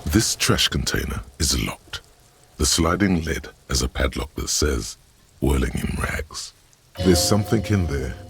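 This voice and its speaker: Brooding game narrator, extremely low pitch, gravelly, sardonic, intimate, faint noise behind. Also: male voice, exaggerated voice. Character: brooding, wry inner voice narrating a detective's thoughts.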